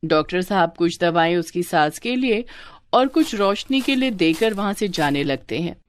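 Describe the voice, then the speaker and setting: A woman's voice: lowish voice, lively intonation, normal speed, clear, resonant tone. A woman reading scripted narration for a moral story as its narrator.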